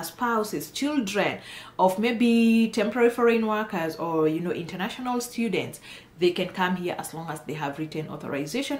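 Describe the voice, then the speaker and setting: A woman speaking informally to viewers as an immigration consultant, emphatic and encouraging, repeating phrases for stress. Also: mid-range voice. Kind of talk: informal explainer addressed to viewers. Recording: roomy, echoing voice.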